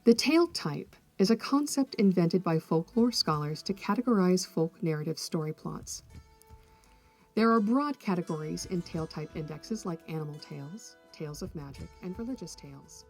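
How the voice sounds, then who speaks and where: A woman hosting an educational podcast, reading scripted narration in a measured, articulate, explanatory manner. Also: lowish voice, expressive, darkish tone, soft background music. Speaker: podcast host, folklorist.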